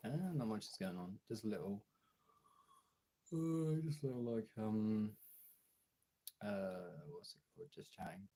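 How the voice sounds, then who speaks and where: A British man with a raspy, hoarse voice from being ill, chatting casually and swearily on a livestream. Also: fairly low pitch, unhurried, long pauses, warm tone. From Britain. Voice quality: raspy, throaty. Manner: informal, sweary. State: hoarse from illness, throat clearing. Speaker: live streamer and audio voice performer.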